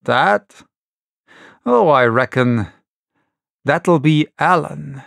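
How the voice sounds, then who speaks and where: A sly older pirate from a storybook adventure, acted out by a male reader, pitched a little high. He coaxes and wheedles in folksy, ungrammatical sailor talk, in a creaky voice with a close, dry sound. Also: lively intonation, extremely slow, a bit bright, no echo, full character voice. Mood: sly, unruffled. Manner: folksy rustic sailor's grammar, coaxing. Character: sly, wheedling one-legged pirate ship's cook, older man.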